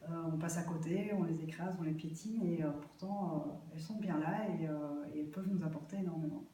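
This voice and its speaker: Very echoey, distant, mellow-voiced woman. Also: low-pitched, brisk, a little hiss or hum. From Belgium. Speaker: interview guest, aspiring herb grower. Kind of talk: spontaneous interview answers. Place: echoey festival venue. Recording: very echoey room, distant microphone.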